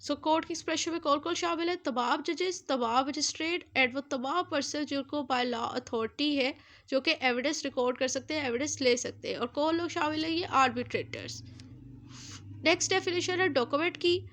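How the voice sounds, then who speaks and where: A woman with a Pakistani accent and a high-pitched voice teaches in an explanatory lecture style. She drops English terms into her explanations and restates key points so that they stick. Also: moderate pace, fairly bright tone. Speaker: law lecturer. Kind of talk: explanatory exam-prep law lecture.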